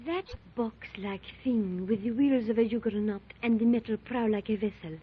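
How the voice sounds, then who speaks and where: A woman's voice: on the high side, moderate pace, a little hiss or hum, close to an everyday voice. An actress playing an anxious young woman who asks worried questions in a 1930s radio drama. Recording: dull old 1930s radio recording.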